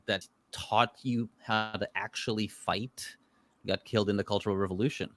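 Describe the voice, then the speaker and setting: A man's voice: lowish voice, moderate pace. A man born in Israel whose first language is Hebrew, chatting casually about martial arts films and telling rambling stories in English. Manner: relaxed, rambling storyteller with frequent fillers. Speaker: guest. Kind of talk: casual chat.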